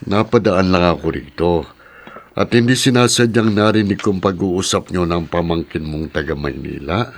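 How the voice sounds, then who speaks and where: A man's voice: sits low, normal speed, a little hiss or hum. A Filipino man playing a stern, threatening middle-aged father who is a monster in a horror radio drama. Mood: stern, suspicious. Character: menacing, overbearing father who turns into a manananggal monster.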